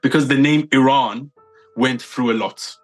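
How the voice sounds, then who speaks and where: A man's voice: medium pitch, measured pace, rough recording. Guy on a podcast hammering his point, repeats himself for emphasis, mid voice, faint music under it. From United Kingdom. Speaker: podcast guest. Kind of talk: opinionated casual chat.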